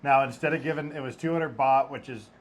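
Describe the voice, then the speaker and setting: A man's voice: medium pitch, a little fast. A man co-hosting a casual travel vlog, joking and acting out quoted exchanges as he tells a story. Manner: joking, acts out quoted dialogue. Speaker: vlog co-host.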